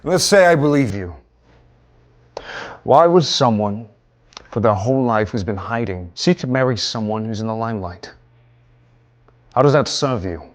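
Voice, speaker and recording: An actor playing a proud, honourable duke in a period romance drama, speaking in a formal, commanding manner. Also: male voice, medium pitch, animated, moderate pace, long pauses, lightly acted. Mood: probing. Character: proud, honourable male duke, guarded but chivalrous.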